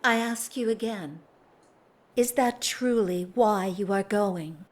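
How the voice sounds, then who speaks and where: Actress as composed, slow-spoken society lady. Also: medium pitch, lightly acted. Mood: restrained, grave. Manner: earnest, restrained, formal. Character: composed, reserved married English society lady. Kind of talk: period audio drama acting.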